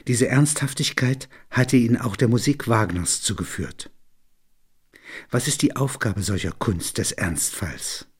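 Male story reader, lowish pitch, husky tone, scripted literary narration. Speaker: narrator reading literary prose.

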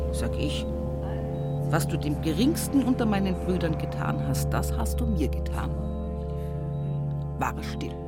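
An actress playing a devout, humorous Bavarian countrywoman looking back on her life in an intimate radio monologue, earthy and often breathy. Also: mid-range voice, close to an everyday voice. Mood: earnest, proud. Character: rural Upper Palatinate woman, devout, humorous, wistfully reminiscing. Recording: music behind the voice. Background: music.

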